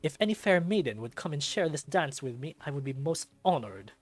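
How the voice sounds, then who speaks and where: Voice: medium pitch, big pitch swings, brisk, faint music behind. A narrator voicing a showy, self-important male fennec host who makes grand, projected announcements in a visual novel. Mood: grand, gracious. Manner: pompous, projected announcer delivery.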